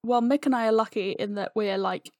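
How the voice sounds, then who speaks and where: A woman chatting casually as an interview guest, explaining things in an animated way. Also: mid-range voice, brisk, no room sound, clean studio sound. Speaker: podcast guest, audio fiction writer and editor.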